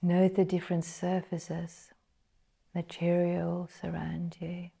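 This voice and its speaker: A woman guiding a meditation in a soft, breathy, partly whispered voice, measured and full of pauses. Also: lowish voice, monotone. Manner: slow, measured, pausing between phrases. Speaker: meditation guide. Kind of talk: guided meditation narration.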